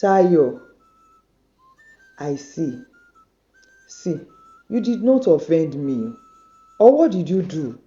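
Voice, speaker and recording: A woman voicing a wronged woman who speaks quietly and calmly. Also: dull audio, heavily acted. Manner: low, quiet and measured. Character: calm wronged woman, dignified and forgiving.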